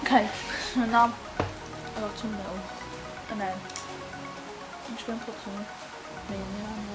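A woman's voice: medium pitch, little pitch movement, moderate pace, low-quality recording. A teenage girl vlogging from her bedroom, talking in a chatty, rambling way and mumbling while she cooks. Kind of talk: casual vlog chat while cooking. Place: small bedroom indoors. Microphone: camera mic close up. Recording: loud music playing under the talk.

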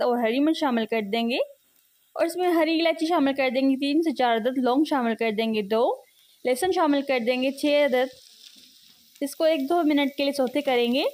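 A woman host giving a steady, step-by-step voice-over for a cooking video. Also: sits high. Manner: steady instructive, repeats cooking steps. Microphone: voice-over, not seen speaking.

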